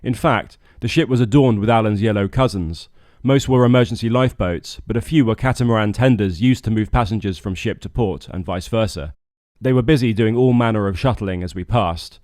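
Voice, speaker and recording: Casting: British male skipper-vlogger with a lowish voice, wry delivery of scripted narration, recorded very dry. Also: a little mellow, no room sound.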